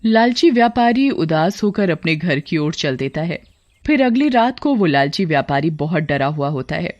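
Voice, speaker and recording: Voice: medium pitch, very animated, moderate pace, no echo, lo-fi sound. Dry-sounding scripted storyteller. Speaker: storyteller narrator. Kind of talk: scripted narration of a moral horror tale.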